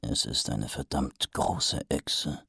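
A tired, sardonic watch captain who grumbles low and husky under his breath, as a male narrator puts him on. His exasperated lines come out dry and half-whispered. It is a storybook reading with a dry, close sound. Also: slow pace, bright, forceful tone, no echo, full character voice. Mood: grumbling. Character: gruff, weary city watch captain, sardonic.

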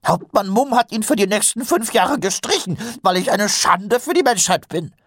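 A man voicing a shifty, cowardly little city watchman in an audiobook, with a raspy, croaky voice and a hesitant, wheedling manner. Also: mid-range voice, expressive, unhurried, darkish tone, no room sound, clean studio sound, pitched above the performer's own voice, full character voice. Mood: panicked, whiny. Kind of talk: audiobook character voice.

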